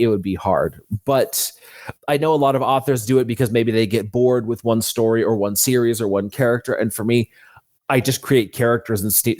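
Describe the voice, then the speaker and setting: A man's voice: fairly low pitch, darkish tone, dry recording, studio quality. A man speaking conversationally as an interview guest, an author explaining how he works. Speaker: fantasy author, podcast guest.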